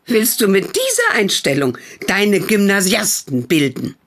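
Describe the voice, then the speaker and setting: Husky, reproachful woman. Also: medium pitch, huge pitch swings, unhurried, fairly bright tone, full character voice. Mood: disapproving. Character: stern, disapproving questioner of an indebted man. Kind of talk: German radio play acting.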